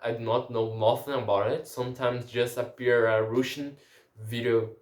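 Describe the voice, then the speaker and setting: A man's voice: medium pitch, steady tone, moderate pace, darkish tone, reverberant. A man whose first language is Portuguese, speaking a casual language-learning diary and often restarting and searching for words.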